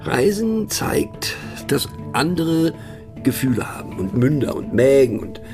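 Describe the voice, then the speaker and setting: A man's voice: mid-range voice, big pitch swings, a little slow, music in the background. A man playing a pensive, mocking narrator in a satirical radio play monologue, speaking ironically with conversational, questioning asides. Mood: musing, wry. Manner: ironic, rhetorical, punctuated with little questioning tags. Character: wry, pensive, mocking male narrator musing on words.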